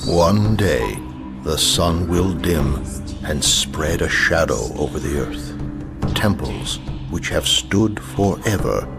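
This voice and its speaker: A man playing a grim prophetic narrator in a video game intro, solemn, ominous and measured. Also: low-pitched, expressive, vocal fry, music in the background, full character voice.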